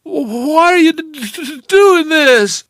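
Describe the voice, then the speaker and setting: A man's voice: extremely high pitch, extremely slow, gravelly, no room sound, studio quality, voice pushed up, heavily acted. A man voicing a drugged, half-conscious young woman who slurs, protests and pleads while shivering. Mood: pleading. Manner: slurred, tearful, shivering.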